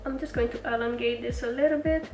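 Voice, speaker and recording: A woman's voice: on the high side, steady tone, fast. Cheerful woman with a stuffy cold. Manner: chatty, talks while working at a mirror. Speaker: makeup tutorial host. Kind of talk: casual step-by-step makeup tutorial. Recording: roomy, mic at a distance, music underneath. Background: background music.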